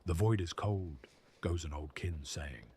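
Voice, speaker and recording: A man reading scripted lore narration in a solemn, measured way with a husky voice. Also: sits low, darkish tone.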